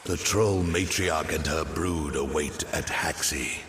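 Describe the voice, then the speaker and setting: An actor playing an ancient, wise giant tree in a fantasy game, speaking in a solemn, cryptic and stately manner. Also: male voice, extremely low pitch, slow, a bit bright, long reverb tail, slight background noise, exaggerated voice. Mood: solemn, watchful. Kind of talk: scripted fantasy game dialogue.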